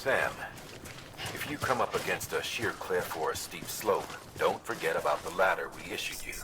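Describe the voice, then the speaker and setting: A man playing a steady, encouraging logistics handler who gives measured, instructive briefings to a courier in a video game. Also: sits low, very noisy. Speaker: mission dispatcher.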